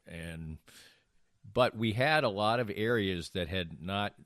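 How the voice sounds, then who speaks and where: A man's voice: on the low side, slow, a bit bright, dry recording, clean studio sound. An older man hosting a casual podcast, telling anecdotes in a rambling way and restarting his sentences mid-thought. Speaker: podcast host.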